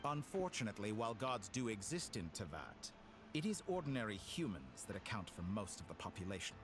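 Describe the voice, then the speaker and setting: A man voicing a smug, silky game villain with godlike power, theatrical and condescending in his mock-polite, deliberate delivery. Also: fairly low pitch, big pitch swings, noisy background. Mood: sinister, coaxing. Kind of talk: scripted video game dialogue. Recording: game music behind the voice.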